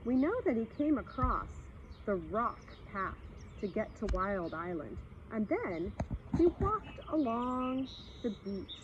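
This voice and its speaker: A woman reading a story aloud expressively for children, pausing to explain and speak to her young listeners. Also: fairly high pitch, big pitch swings. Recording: slightly dull sound with faint background noise.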